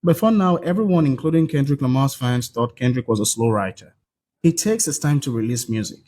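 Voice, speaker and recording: A man delivering scripted, dramatic documentary narration in a measured storytelling manner. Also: medium pitch, animated, dark tone. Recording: faint music behind the narration.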